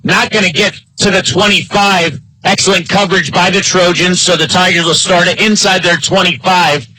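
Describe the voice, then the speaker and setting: A man's voice: fairly even intonation, normal speed, bright, forceful tone, no echo, rough recording. An American man working as a play-by-play announcer, delivering live American football commentary. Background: live high school football game broadcast.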